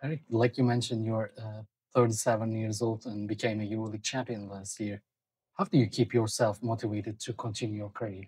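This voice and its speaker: A man with a Turkish accent asking interview questions in English, hesitant and broken up by "uh" pauses. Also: fairly low pitch, little pitch movement, a little mellow, breathy edge, poor audio.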